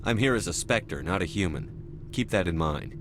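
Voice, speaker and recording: A male military commander in a video game. His voice is fairly low, steady and direct, with faint ambience behind it. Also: moderate pace, noisy background. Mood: calm, firm. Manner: firm, direct.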